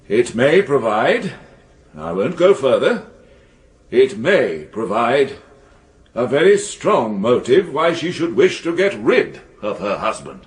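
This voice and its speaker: An actor playing a stern Victorian judge in a radio drama, grave and pompous, with weighty pauses. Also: male voice, mid-range voice. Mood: disapproving, halting. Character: stern, solemn Victorian judge passing sentence.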